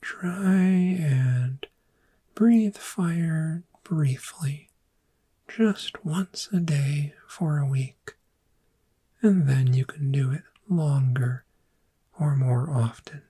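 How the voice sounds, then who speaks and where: Woman doing a gentle dragon therapist, asmr roleplay, whispery and mellow, super slow and reassuring. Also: low voice, heavily acted. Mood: soothing, encouraging. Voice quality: breathy, whispery. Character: gentle dragon therapist, soothing, encouraging, patient. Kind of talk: soft-spoken ASMR roleplay.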